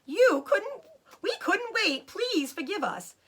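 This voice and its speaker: A woman's voice: very high-pitched, very animated, slow, fairly bright tone, voice pushed up, heavily acted. A woman voicing a shy, tender, grateful female fox wife in a children's storybook, earnest and gushing. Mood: tender, emotional.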